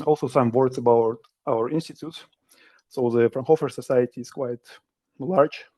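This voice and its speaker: A man's voice: mid-range voice, fairly even intonation, mellow. A young man from Kazakhstan giving a seminar talk over a video call, speaking carefully with some hesitations. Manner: careful, slightly halting delivery with fillers. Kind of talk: academic presentation. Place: indoor room.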